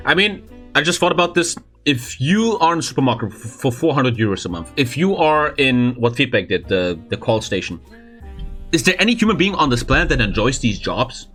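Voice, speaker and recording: Podcast host, man in his late twenties, bright forceful voice, lots of pitch movement, soft music under. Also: sing-song, brisk. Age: 20s. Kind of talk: casual podcast chat.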